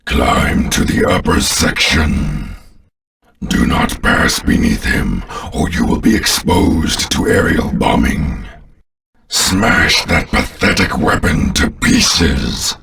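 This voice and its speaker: A man playing an ancient alien overlord villain in a video game, commanding, contemptuous and menacing, with a very deep gravelly voice and slow, grandiose delivery. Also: animated, pushed and bright, distant mic, heavily acted.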